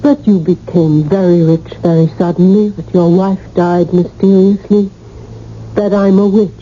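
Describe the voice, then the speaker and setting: A woman's voice: on the low side, fairly even intonation, unhurried, mellow, natural voice. A woman acting a sly, seductive voodoo witch in a radio drama, coolly teasing and evasive. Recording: dull, noisy old radio broadcast recording.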